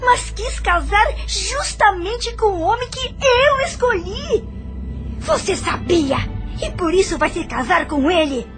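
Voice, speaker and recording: Actress as venomous jealous young woman, very high voice. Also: very animated, slow pace, music in the background, full character voice. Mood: scornful, accusing. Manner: accusing, scornful, melodramatic. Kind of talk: audio novel acting.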